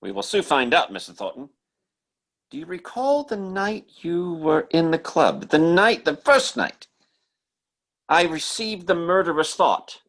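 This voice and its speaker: A man's voice: on the high side, very animated, measured pace, a bit bright, heavily acted. Man acting a wildly swooping stage mentalist. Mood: probing, solemn. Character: earnest, insistent stage mentalist with telepathic powers. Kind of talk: old-time radio mystery drama acting.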